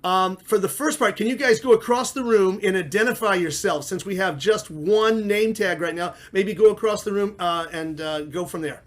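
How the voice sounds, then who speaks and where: A man's voice: high voice, normal speed, hardly any pauses. A man hosting a conversational interview, putting questions to his guests. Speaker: interviewer, competition director.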